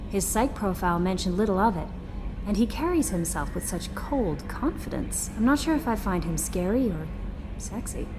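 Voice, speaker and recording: A chatty, flirtatious female ship's assistant played by a woman in a video game. She has a medium-pitched voice and a coy, helpful manner. There is a long reverb around her and music playing behind. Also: animated, moderate pace, natural voice. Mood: flirty, friendly. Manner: chatty, coy, eager to help. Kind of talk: dramatic video game dialogue.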